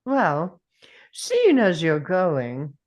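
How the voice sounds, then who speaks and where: A woman's voice: fairly low pitch, huge pitch swings, drawn-out words, darkish tone, exaggerated voice. A woman playing a wry, thoughtful, eccentric time traveller in a drama table read, musing aloud. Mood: wry, probing.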